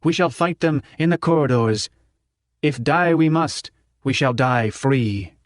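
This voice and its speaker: A man voicing a fiery old professor giving a revolutionary speech in an audiobook, declaiming with deliberate pauses. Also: mid-range voice, no echo, studio quality, close to an everyday voice. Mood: defiant, rousing.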